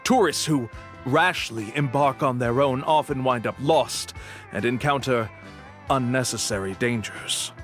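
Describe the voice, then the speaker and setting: A man playing a smooth-talking scammer who poses as a tour guide in a video game, with florid, persuasive patter. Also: medium pitch, a little slow, fairly bright tone, close to an everyday voice. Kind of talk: animated video game dialogue. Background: music.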